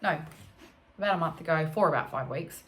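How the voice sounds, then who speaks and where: A woman with an Australian accent, croaky from allergies, chatting casually as she works through a DIY cleaning job at home. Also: sits low, fairly quick, a bit bright, a little hiss or hum. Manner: thinking aloud, chatty, self-correcting. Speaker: homestead vlogger. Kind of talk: casual DIY vlog chat. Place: home, indoors.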